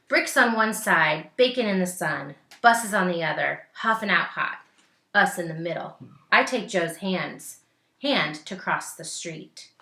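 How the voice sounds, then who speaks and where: A woman teacher reading a picture book aloud expressively, pausing to put simple questions to young listeners. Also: fairly low pitch, pushed and bright, roomy sound.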